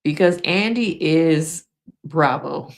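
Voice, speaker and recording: A woman's voice: low voice, takes it slowly. A woman hosting a casual live stream, chatting frankly with viewers, laughing often, and losing her voice.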